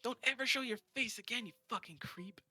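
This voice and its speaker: A man's voice: very high voice, fairly quick, bright, forceful tone, soft background music, voice pushed up, heavily acted. A man voicing a taunting teenage girl bully. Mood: mocking.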